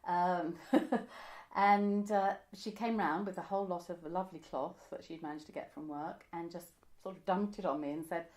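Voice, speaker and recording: A woman's voice: lowish voice, fairly even intonation, normal speed, a little mellow. A woman, reminiscing conversationally as an interviewee. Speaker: interviewee, pantomime costume maker. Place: indoors, room by a window.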